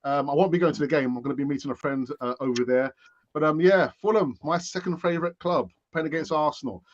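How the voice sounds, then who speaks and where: A male show host wraps things up with eager, chatty enthusiasm and plenty of quick "yeah"s. His voice sits a little high, and the recording sounds dull and lo-fi. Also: slight background noise. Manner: enthusiastic, lots of short affirmations. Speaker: channel host. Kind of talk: casual chat.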